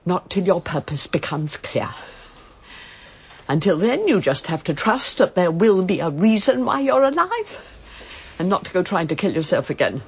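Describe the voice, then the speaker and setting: Brief: imperious elderly widow, husky, animated delivery, radio drama. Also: female voice, fairly low pitch, exaggerated voice. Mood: earnest. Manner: imperious, brisk, theatrical. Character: elderly reclusive widowed aristocratic lady, commanding.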